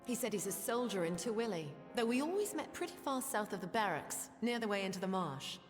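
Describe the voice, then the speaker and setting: A woman acts as a subdued, remorseful soldier from a fantasy video game. Her medium-pitched voice is earnest and hesitant. It has a long reverberant tail, with music underneath. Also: animated, a little fast, close to an everyday voice. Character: quiet, guilt-ridden female soldier confessing, earnest. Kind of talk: video game character acting.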